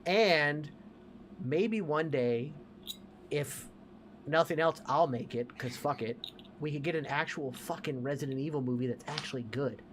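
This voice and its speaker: A man's voice: on the high side, a little slow, slight background noise. A man chatting casually as a podcast host, profane and opinionated.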